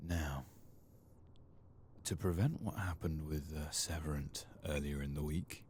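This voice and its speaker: A soft-spoken man doing casual, unhurried ASMR commentary over a game playthrough, sometimes dropping toward a whisper. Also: extremely low pitch, slight background noise. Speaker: host of a gaming ASMR channel.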